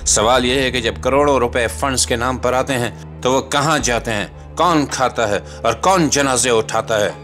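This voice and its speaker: A Pakistani man delivers a scripted voice-over in a medium-pitched voice. His delivery is solemn and rhetorical, stressing key phrases like a dramatic documentary narrator. Music plays behind him. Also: normal speed, few pauses, a bit bright. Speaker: host and narrator of a commentary channel. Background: background music.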